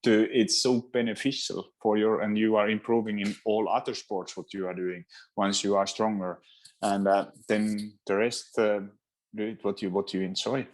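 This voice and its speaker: A Finnish man speaking English as a second language, a fitness trainer answering interview questions in a halting way, with frequent restarts. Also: fairly low pitch, little pitch movement. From Finland. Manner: halting, searching for words, many restarts. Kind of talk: casual interview talk.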